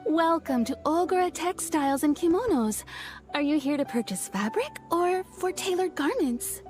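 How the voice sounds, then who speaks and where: Courteous tailor shopkeeper. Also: female voice, high-pitched, animated, darkish tone. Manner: courteous, measured, businesslike. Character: courteous adult female shopkeeper, a businesslike tailor. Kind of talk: game voice acting. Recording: game audio with faint background music.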